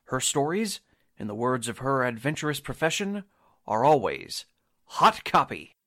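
A man's voice: mid-range voice, big pitch swings, moderate pace, a bit bright. A man announcing a radio drama in a formal, dramatic 1940s style, reading from a script.

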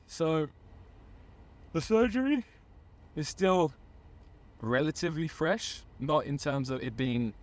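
A man's voice: fairly high pitch, lively intonation, slow pace, pauses often. Young man telling his story. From Australia. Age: around 20. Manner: earnest, often corrects himself mid-sentence. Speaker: podcast guest, footballer. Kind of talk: casual storytelling.

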